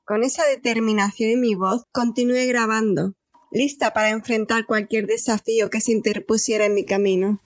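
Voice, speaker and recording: Woman playing a high-voiced, frightened but determined captive. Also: fairly even intonation, moderate pace, dry recording, natural voice. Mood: weary, determined. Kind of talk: first-person dramatic narration in audio fiction.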